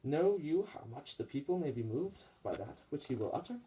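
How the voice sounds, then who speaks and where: A man's voice: on the high side, expressive, fairly quick, dark tone, low-quality recording. A man playing a wary, mistrustful Roman conspirator in a puppet-show Shakespeare, speaking tersely and suspiciously in verse.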